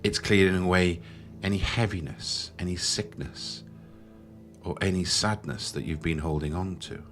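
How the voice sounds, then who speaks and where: Male meditation guide, deep voice, soothing and unhurried, leaving long pauses, soft music underneath. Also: steady tone. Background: background music.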